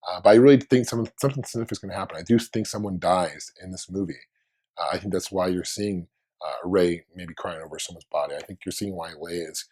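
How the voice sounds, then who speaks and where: A man with a hoarse voice from being under the weather, hosting his own fan video and thinking aloud in a rambling, casual way with many restarts. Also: low-pitched, a little mellow.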